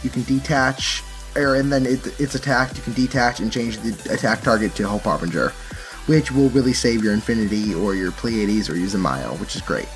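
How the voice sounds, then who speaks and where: A man's voice: on the low side, moderate pace, faint music behind. A man giving a casual, explanatory walkthrough as a card game player presenting a deck.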